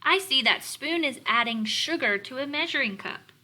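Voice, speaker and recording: A woman teacher leading a read-aloud lesson for young children, explaining words in a deliberate, instructional way. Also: sits high, animated, bright, forceful tone. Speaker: elementary teacher.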